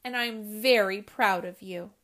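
A woman voicing a kind, patient schoolteacher in a storybook reading, gentle and encouraging. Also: medium pitch, normal speed, natural voice. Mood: proud.

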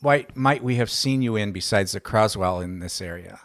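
A man's voice: fairly low pitch, normal speed, no echo. A man co-hosting a podcast, asking questions in a casual chat. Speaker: podcast co-host.

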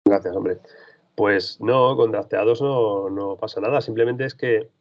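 A man's voice: fairly low pitch, measured pace. A man who works as a freelance basketball reporter, answering interview questions in a thoughtful, rambling way with frequent restarts. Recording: dull lo-fi sound.

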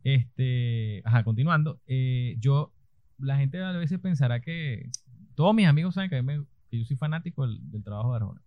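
A man with a Venezuelan accent co-hosting a casual chat podcast, animated and fond of mimicking voices. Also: medium pitch, moderate pace, no room sound. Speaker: podcast co-host. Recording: dry, dull lo-fi audio.

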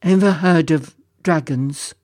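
A storybook reader voicing a huge, sorrowful dragon who speaks in a rumbling, mournful way and is kindly at heart. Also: slow pace, a little mellow, dry recording, clean studio sound, natural voice. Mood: distressed, self-pitying.

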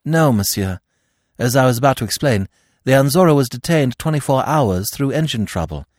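A man reading an audiobook, voicing an impetuous young man who talks in an eager, rushed way. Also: medium pitch, animated, a little mellow, dry recording, studio quality, pitched above the performer's own voice, natural voice. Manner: eager, rushed, choking with grief.

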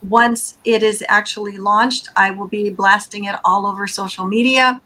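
A middle-aged woman hosts a podcast in an earnest, upbeat way. Her pitch sits in the middle, and she pauses with an uh or um as she talks. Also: fairly even intonation, bright, forceful tone. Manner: earnest, enthusiastic, with fillers. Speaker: podcast host. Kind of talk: casual podcast chat.

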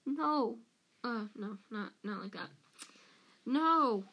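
A woman voicing a distressed but defiant teenage boy in an audiobook, breathless and halting yet insistent. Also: on the high side, highs cut off. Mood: alarmed, breathless.

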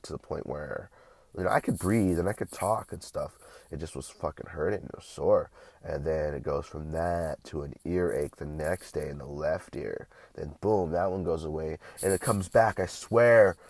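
A young man with a very low voice talks loosely to his viewers, his nose stuffed up and congested. He rambles, restarts his sentences and swears freely. The sound is dry and close, with no room echo. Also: moderate pace, creaky, a little mellow. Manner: casual, profane, rambling with restarts. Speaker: vlogger. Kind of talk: casual rambling vlog.

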